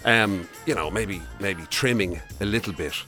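A man's voice: on the low side, moderate pace, music in the background. An Irish man hosting in casual comic banter, with a wry, exasperated, sweary way of talking. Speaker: podcast and radio host.

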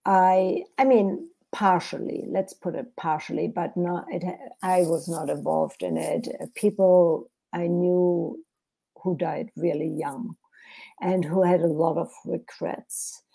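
A woman's voice: lowish voice, steady tone, measured pace, vocal fry, dark tone, slightly rough, rough recording. A woman from Germany with a German accent, an author talking reflectively in an interview, often searching for words. English is her second language. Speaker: author guest. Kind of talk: interview conversation.

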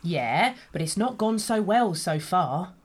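A woman's voice: fairly low pitch, expressive, slow, slightly rough, full character voice. A woman playing a hard, scornful smuggler, curt and sardonic, in an audio drama. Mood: commanding. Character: bossy, sarcastic female gun smuggler, hard and scornful.

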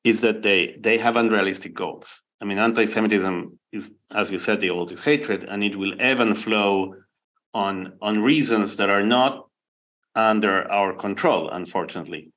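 A man's voice: fairly low pitch, normal speed, a bit bright. A man with an Argentinian accent interviewing a guest, thoughtful and stammering as he starts sentences.

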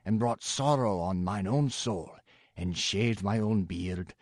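An actor playing a proud, rueful wandering dervish, declaiming grandly in archaic English for an audio drama. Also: male voice, lowish voice, takes it slowly, darkish tone, no room sound. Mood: grave, rueful.

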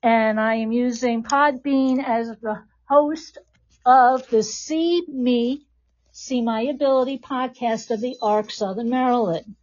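Woman, high voice, live podcast host, encouraging teacher manner, dull recording. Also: slow, a little mellow. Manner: encouraging, reassuring prompts. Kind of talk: live podcast hosting, addressing a class. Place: classroom with about twenty people.

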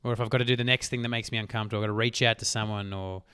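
A man hosting a solo motivational podcast, talking emphatically and swearing casually, his voice worn hoarse. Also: fairly low pitch, fairly even intonation, very fast, bright, forceful tone, no room sound, clean studio sound. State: losing his voice. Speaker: podcast host.

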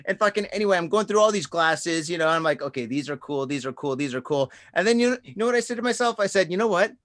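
A man's voice: on the high side, fast. Profane, rambling man in his forties. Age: 40s. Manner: profane, rambling, animated storyteller with frequent "dude". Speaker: podcast co-host. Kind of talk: casual storytelling chat.

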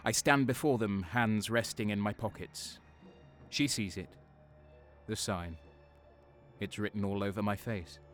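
Guy acting a cruel traitor soldier telling his grim war story, deliberate, music underneath. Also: on the low side, fairly bright tone. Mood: grim, detached. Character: cold-hearted, sadistic young male soldier turned traitor. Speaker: narrator and lead character. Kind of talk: first-person dark war audio drama narration.

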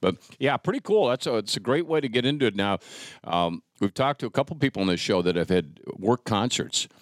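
A man's voice: on the low side, animated, fairly quick, dry recording, studio quality. A middle-aged American man hosting a casual chat, reminiscing in a rambling, conversational way. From rural United States. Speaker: podcast host.

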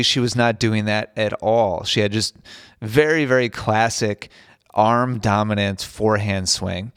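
A man's voice: on the low side, dry recording, clean studio sound. A man hosting a tennis podcast, talking earnestly and repeating himself as he restarts phrases, his voice a bit strained after recovering from an illness. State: recovering from voice loss, slightly strained. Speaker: podcast host and tennis coach. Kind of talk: solo podcast talk. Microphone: studio podcast microphone.

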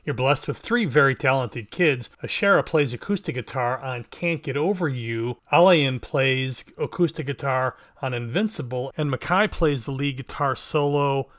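A man's voice: medium pitch, normal speed, few pauses, fairly bright tone. A man hosting a music interview who asks long, enthusiastic questions and praises his guest's work. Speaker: interview host. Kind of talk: podcast interview.

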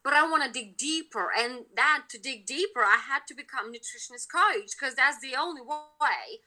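Bright-voiced Lithuanian-accented woman. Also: high voice, animated, moderate pace. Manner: chatty, many fillers and restarts. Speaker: podcast guest, nutritionist. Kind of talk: casual interview talk.

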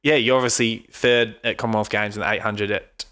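An Australian man hosting a casual podcast, with a bright, forward tone and a teasing, easygoing manner. He laughs often and needles his guest. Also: lowish voice, fairly even intonation, brisk. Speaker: podcast co-host.

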